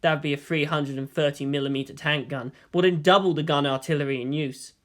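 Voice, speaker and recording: A man's voice: fairly high pitch, steady tone, fairly quick, pitched below the performer's own voice, natural voice. Man playing suspicious, skeptical old statesman. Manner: formal, questioning, skeptical. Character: aged, experienced male statesman, suspicious and shrewd premier. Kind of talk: dramatized storybook reading.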